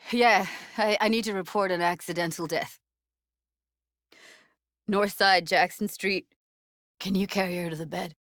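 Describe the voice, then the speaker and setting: A woman's voice: medium pitch, steady tone, unhurried, long pauses, fairly bright tone, no room sound, studio quality, natural voice. An actress voicing a tough, guarded young woman techie in a cyberpunk video game, speaking in casual, clipped slang with a wry edge. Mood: upset, tense. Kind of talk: naturalistic video game dialogue, isolated voice lines.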